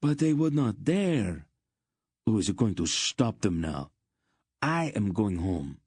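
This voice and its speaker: A man's voice: on the low side, sing-song, slow pace, a little mellow, slightly rough, no echo, clean studio sound, voice pushed up, exaggerated voice. A man doing a rattled blustering merchant. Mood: agitated.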